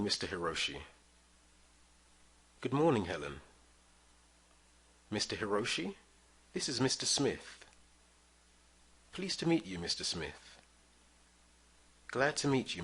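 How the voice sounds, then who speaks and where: A man teaching English, reading short phrases slowly and clearly for listeners to repeat. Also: fairly low pitch, a bit bright. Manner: clear drill-style reading of phrases for repetition. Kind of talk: slow scripted language lesson.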